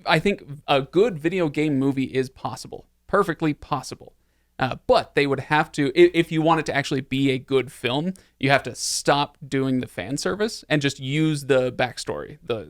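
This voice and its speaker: A man's voice: medium pitch, expressive, moderate pace, no echo. Dry-sounding man chatting about films. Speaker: podcast host. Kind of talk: casual film discussion.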